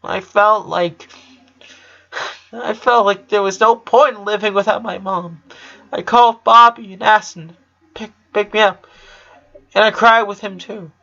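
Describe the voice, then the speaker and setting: A man reading the part of a grieving young girl in a story, halting and repeating words as if choked up. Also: high-pitched, steady tone, gravelly, sharp tone, voice pushed up, full character voice. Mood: anguished. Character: grieving young girl confessing her sorrow. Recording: dull lo-fi sound.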